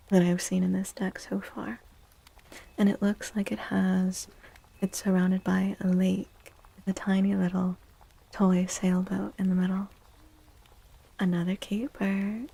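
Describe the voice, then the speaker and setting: Slow, breathy, soft-spoken ASMR woman. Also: mid-range voice, poor audio. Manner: gentle, hushed, unhurried, soothing repetition. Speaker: livestream host reading tarot cards for viewers. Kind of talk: soft-spoken ASMR tarot reading, often whispered.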